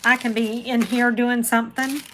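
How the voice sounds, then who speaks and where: A woman's voice: a little high, flat delivery, normal speed, noisy background. A woman, hosting a casual live chat and rambling to viewers by name. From Florida, USA. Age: 40s. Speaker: craft channel host reading live chat.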